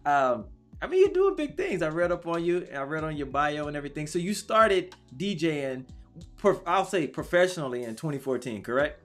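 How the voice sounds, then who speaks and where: A man's voice: a little high, sing-song, a little fast, fairly bright tone, soft background music. Hyped, chatty man hosting a talk show. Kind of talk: casual interview chat.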